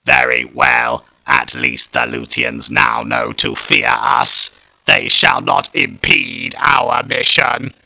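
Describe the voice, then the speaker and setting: A man's voice: medium pitch, wildly swooping pitch, slow pace, gravelly, bright, forceful tone, no echo, heavily acted, poor audio. A man voicing a second alien war machine with a harsh, cold voice in a storybook reading. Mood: menacing.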